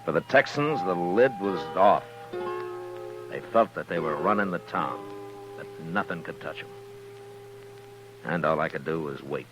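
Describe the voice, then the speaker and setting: A very low-voiced Old West marshal, steady and dryly wry. He sounds like a 1950s radio western lead, both talking and narrating, on an old broadcast with some music. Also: a little slow, long pauses, poor audio. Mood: grave narration.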